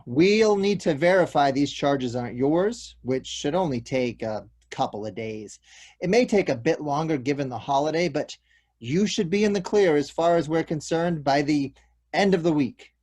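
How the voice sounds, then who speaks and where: Guy playing a polite police detective in a play reading, measured and sorry to bother you. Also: fairly high pitch, expressive, darkish tone. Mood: reassuring. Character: polite, apologetic male police detective explaining bad news. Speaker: actor in a virtual table read. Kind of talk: stage comedy-drama table read.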